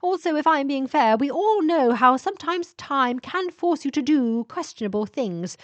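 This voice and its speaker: Woman acting as male vampire wizard-scientist, proud, emphatic, expository, raised pitch, dry sound. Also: animated, normal speed, no echo, muffled recording. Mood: reluctant.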